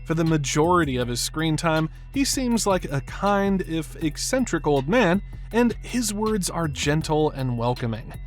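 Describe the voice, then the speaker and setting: Animated, higher-voiced male video narrator. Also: a little slow, faint music behind. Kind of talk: scripted film analysis narration.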